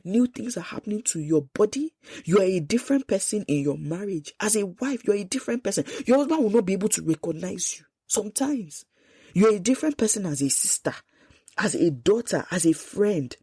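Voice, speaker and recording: A woman's voice: mid-range voice, a little fast, husky, dry recording, studio quality. Congested Nigerian woman podcasting. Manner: animated, emphatic, quotes people in lively asides. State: congested, sore throat. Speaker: podcast host. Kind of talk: solo podcast monologue.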